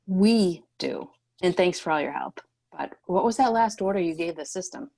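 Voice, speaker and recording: A woman's voice: lowish voice, expressive, normal speed, creaky, warm tone. Woman as a direct, wary refugee leader. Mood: businesslike. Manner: direct, questioning. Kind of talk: screenplay table read.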